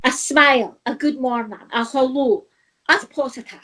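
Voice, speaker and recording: A woman's voice: high voice, normal speed, a bit bright. A middle-aged woman, talking in a casual chat, chatty and laughing often, repeating herself. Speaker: co-host.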